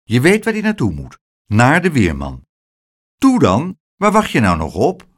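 Man playing a brisk, kindly, animated gentleman. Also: medium pitch. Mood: urging.

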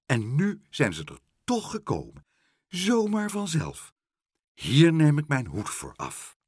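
A man voicing a mild, dreamy mayor in a children's storybook, musing and gently pleased. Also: a little high, wildly swooping pitch, slow, breathy edge, dry recording, clean studio sound, voice pushed up, exaggerated voice. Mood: awed delight. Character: mild, dreamy, delighted mayor who loves art.

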